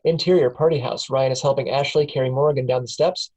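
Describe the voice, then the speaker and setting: A woman's voice: rumbling low, fairly even intonation, fast, darkish tone, breathy edge. A woman reading stage directions aloud in a casual table read, often breaking into laughs.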